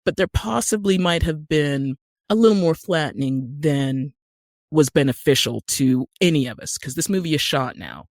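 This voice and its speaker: A woman's voice: low voice, animated, moderate pace, no room sound, studio quality. An American woman speaking as a podcast guest in a casual interview, emphatic and wry. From Utah, United States. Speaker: guest actor and screenwriter.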